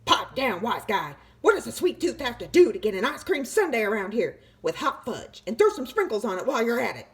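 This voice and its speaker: A brash, greedy talking tooth rattles off demands loudly and quickly, as a woman reading a storybook puts it on. It sounds pushy and impatient. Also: fairly high pitch, very animated, pitched above the performer's own voice. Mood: demanding.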